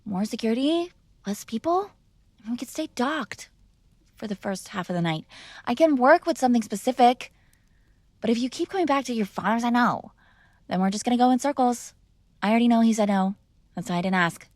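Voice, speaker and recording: A woman's voice: fairly high pitch, animated, brisk, pauses often, a little mellow, dry recording, clean studio sound, natural voice. A woman playing a spoiled, defiant mob boss's young daughter in a conversational, argumentative ASMR roleplay. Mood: firm, negotiating. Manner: conversational, sighing, coaxing and argumentative. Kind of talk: ASMR girlfriend roleplay, one-sided conversational acting.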